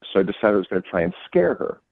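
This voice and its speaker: A man's voice: on the low side, big pitch swings, very fast, gravelly, dry recording. A man telling a casual, self-deprecating story as a caller on a telephone line. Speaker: call-in guest telling a dating story. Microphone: phone.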